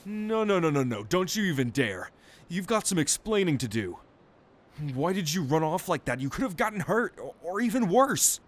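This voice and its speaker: Casting: male actor as a worried, scolding brother, high swooping voice, fretful and protective, for audio drama. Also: big pitch swings, normal speed. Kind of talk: dramatic audio roleplay acting.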